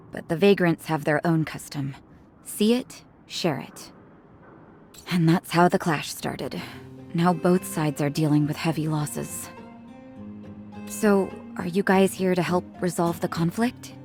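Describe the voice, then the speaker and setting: A woman voice acting a composed, kind doctor in a video game, speaking in a calm, measured, polite way. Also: fairly low pitch, faint music behind. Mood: measured, explaining.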